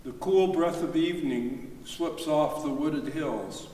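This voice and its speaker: A man's voice: on the high side, slow, warm tone. A man reading literary nature prose aloud at a live readers theater. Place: reverberant church, live reading. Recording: distant, echoey church hall, faint noise.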